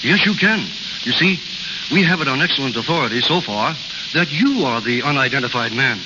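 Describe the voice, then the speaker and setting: On a muffled, very hissy old radio recording, an actor plays a fast-talking male official. He is pompous, polite and effusive, in 1940s drama style. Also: medium pitch, expressive, close to an everyday voice. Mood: effusive, formal. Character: formal, effusive, congratulatory official bearing a medal.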